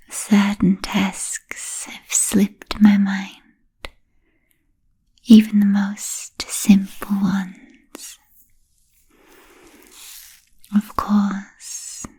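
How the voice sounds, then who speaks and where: An ancient, genteel vampire played by a woman whispers in an ASMR roleplay. The delivery is extremely slow and almost monotone, with a very breathy, voiceless softness. The phrasing is formal and deliberate, soothing yet politely predatory. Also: mid-range voice, heavily acted. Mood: languid, courteous. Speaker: ASMR performer.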